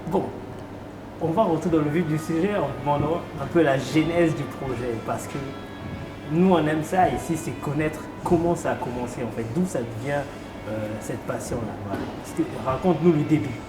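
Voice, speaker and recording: A man's voice: fairly high pitch, normal speed. A man hosting a casual interview, asking rambling questions that he repeats and rephrases. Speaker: interviewer, channel host. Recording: roomy echo, music underneath.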